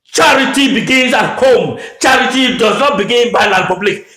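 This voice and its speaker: A man from Anglophone Cameroon giving a fiery political address, shouting and repeating his demands in a declamatory way. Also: very high voice, brisk. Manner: shouting, repetitive declamatory oratory. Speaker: political activist making a public address. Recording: echoey room.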